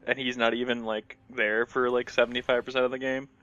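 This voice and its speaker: A man's voice: medium pitch, flat delivery, fairly quick, fairly bright tone, dry recording, highs cut off. A man giving casual gaming commentary in a sardonic, grumbling, profane way. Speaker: Let's Play commentator.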